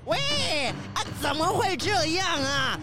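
A woman playing a fussing, excitable animal character who urges others along in a children's audio drama. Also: extremely high pitch, takes it slowly, music in the background, full character voice. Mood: alarmed.

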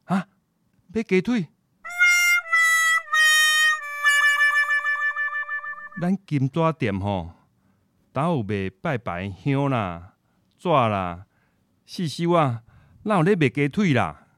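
A man's voice: medium pitch, very animated. A man playing a kindly old Taiwanese grandfather who keeps a shop, in a radio drama, with a Taiwanese accent. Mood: baffled. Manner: code-switches into Taiwanese Hokkien, fond and unhurried.

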